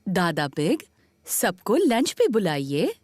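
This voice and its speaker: A woman's voice: medium pitch, huge pitch swings, takes it slowly, darkish tone, slightly rough, no echo, exaggerated voice. A woman voicing a kindly, doting grandmother pig in a children's cartoon dub, in a coaxing, sing-song manner. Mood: brisk, pleased.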